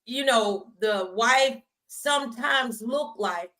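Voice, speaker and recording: A woman with a fairly high voice hosts a talk show. She is effusive and encouraging, chatting in a colloquial, upbeat interview style. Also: takes it slowly, sharp tone.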